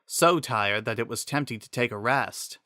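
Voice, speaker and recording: A man giving an expressive, emphatic dramatic narration of a scripted story. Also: medium pitch, normal speed, dry recording, studio quality.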